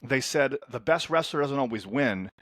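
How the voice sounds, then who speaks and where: A man's voice: medium pitch, animated, normal speed, fairly bright tone, no room sound, clean studio sound. A man from Lebanon who grew up in the States, a coach talking as a podcast guest in an upbeat, storytelling way that often doubles words as he starts a sentence. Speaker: men's transformation coach, guest. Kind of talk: casual interview talk.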